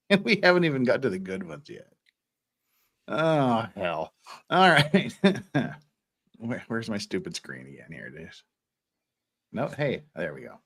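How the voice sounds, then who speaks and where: Guy in his 50s doing livestream chat, rambly and jokey, pitch jumps around a lot, giggles easily. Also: big pitch swings, a little slow, long pauses, fairly bright tone, breathy edge. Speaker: livestream host. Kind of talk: casual livestream chat, reading out viewer comments.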